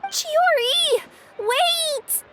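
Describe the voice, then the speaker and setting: A woman's voice: extremely high pitch, lively intonation, very slow, very noisy, exaggerated voice. A woman voicing a young cat-girl courier in a video game, sweet, polite and anxiously loyal. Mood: alarmed. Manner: hesitant, worried, polite.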